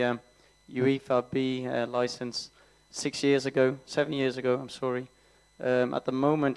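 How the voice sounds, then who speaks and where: Flat, clear-voiced young man. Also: mid-range voice, rough recording. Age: 20s. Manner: hesitant, filler-heavy. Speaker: youth football coach. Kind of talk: press conference self-introduction.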